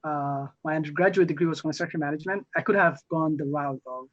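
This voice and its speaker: A young man from Somalia, a newly elected council member, answering interview questions haltingly with many fillers and restarts. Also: fairly high pitch. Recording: muffled lo-fi audio.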